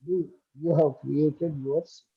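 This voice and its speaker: Guy teaching a spiritual class on a video call, kinda high husky voice, keeps asking "right?". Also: fairly even intonation, dull audio. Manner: measured, asks checking questions. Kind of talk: online study group lecture. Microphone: computer mic on a video call.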